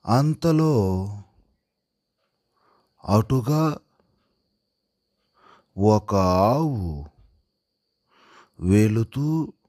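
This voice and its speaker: A man narrates a simple story as if dictating it for a writing lesson. His delivery is extremely slow and broken into single words and short phrases, with a lively rise and fall in pitch. The sound is close and dry, with very little room. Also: no echo. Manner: deliberate, pausing word by word. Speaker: handwriting lesson presenter. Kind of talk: slow dictation-style storytelling read aloud while writing.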